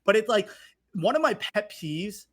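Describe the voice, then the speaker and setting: A man's voice: high voice, big pitch swings, unhurried, a bit bright. A man speaking casually and emphatically on a podcast, giving opinions with repetition for stress. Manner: emphatic ranting with frequent repetition. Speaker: podcast guest.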